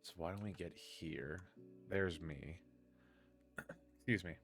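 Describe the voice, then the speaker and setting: A man hosting a live stream in casual chat, groggy from just waking up and rambling in a low-key way. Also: slow, creaky, a bit bright, faint music behind. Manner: rambling and low-key, with many filler words. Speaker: streamer and podcast host.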